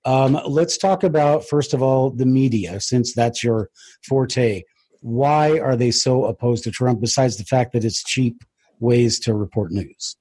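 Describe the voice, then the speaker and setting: A man casually asking questions as an interview host, hesitant and pausing often with ums. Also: medium pitch, mellow, husky.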